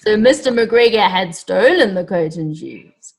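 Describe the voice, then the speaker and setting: A woman reading a story aloud to children, animated and encouraging, pausing to ask them questions directly. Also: mid-range voice, breathy edge, rough recording. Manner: animated, encouraging, addresses young listeners directly.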